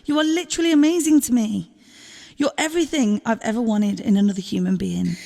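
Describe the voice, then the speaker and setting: A woman's voice: fairly high pitch, brisk, gravelly, mellow, long reverb tail. A woman acting a loving, exasperated girlfriend in an audio drama, emotional, pleading and informal, with some swearing. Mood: loving, reassuring. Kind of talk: naturalistic audio drama dialogue.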